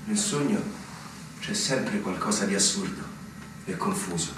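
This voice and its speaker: An actor playing an anxious, yearning young army lieutenant in a theatrical radio drama, earnest, wistful and hesitant. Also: male voice, deep voice, expressive, unhurried. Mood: uneasy. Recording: very echoey, distant, noisy stage recording.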